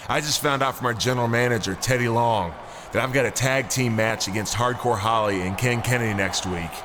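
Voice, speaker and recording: A man's voice: mid-range voice, fairly quick, close to an everyday voice. A man playing a self-assured, dry and cheeky pro wrestler in a video game cutscene. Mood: dry, confident. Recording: noisy game cutscene audio.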